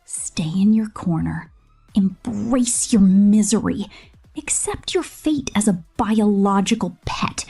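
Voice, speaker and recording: A woman voicing a possessive, cold female killer drone robot in a breathy, slow, menacing whisper for an ASMR roleplay. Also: mid-range voice, warm tone.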